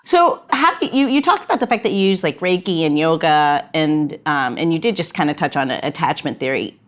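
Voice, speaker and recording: A woman's voice: sits low, expressive, a little fast. A woman hosting a podcast, asking rambling, wry questions and laughing often in casual chat. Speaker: podcast host.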